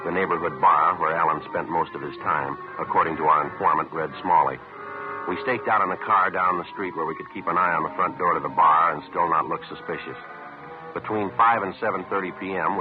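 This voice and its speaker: An actor playing a terse, methodical police detective and narrator in a vintage radio crime drama, speaking in a clipped, matter-of-fact way. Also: male voice, low-pitched, close to an everyday voice. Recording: tinny, noisy old radio recording.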